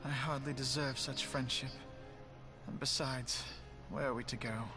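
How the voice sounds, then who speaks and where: A man's voice: mid-range voice, fairly even intonation, unhurried, lightly acted. An actor playing a proud young nobleman full of remorse, speaking in formal, archaic, theatrical phrases in a fantasy game. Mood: dejected. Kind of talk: scripted fantasy game voice acting. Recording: music behind the voice. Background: game music.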